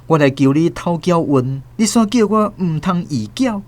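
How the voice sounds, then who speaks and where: A man's voice: fairly high pitch, lively intonation, normal speed, a little mellow. A man with a Taiwanese accent narrating a radio drama as a scripted, dramatic storyteller.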